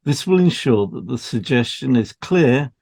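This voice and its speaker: A man's voice: medium pitch, lively intonation, a little slow, vocal fry, a little mellow. A man co-hosting an educational podcast, reading scripted material in an explanatory style. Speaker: podcast co-host, hypnotherapist.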